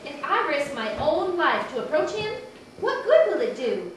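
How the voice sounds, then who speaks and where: A woman's voice: high-pitched, big pitch swings, moderate pace. A woman playing a distressed, melodramatic young queen in an amateur puppet show. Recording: very echoey room, distant mic, noisy.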